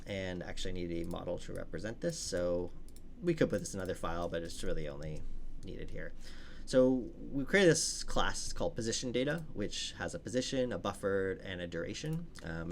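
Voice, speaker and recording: A man's voice: low-pitched, vocal fry, a little hiss or hum. A man giving a casual, informal step-by-step coding walkthrough as a tutorial instructor. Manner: informal, explains step by step while working.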